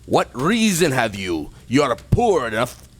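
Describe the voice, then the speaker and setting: A man's voice: medium pitch, big pitch swings, slow, slight background noise. An actor playing a grim, miserly, cantankerous old man who snaps and grumbles scornfully in a radio play.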